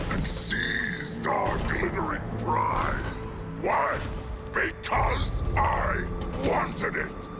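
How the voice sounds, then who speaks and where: An actor playing a vain, cruel, grandiose alien emperor in a video game, with pompous theatrical speech. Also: male voice, on the low side, full character voice. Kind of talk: dramatic video game acting.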